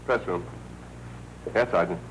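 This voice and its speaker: A jittery American newspaperman from a 1940s noir picture. His voice sits fairly low and his delivery is terse, slangy and snappish. The old film soundtrack adds light noise. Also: measured pace, low-quality recording. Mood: businesslike. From United States. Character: jittery, snappish newspaperman worried over a missing girl.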